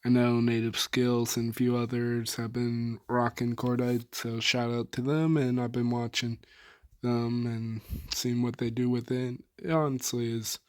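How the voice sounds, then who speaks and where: A young man with a hoarse, tired voice, casually running through his favorite weapon builds with lots of fillers and trailing sentences. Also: medium pitch. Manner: laid-back, filler-heavy, trailing off. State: hoarse, soft on mic. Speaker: gaming YouTuber.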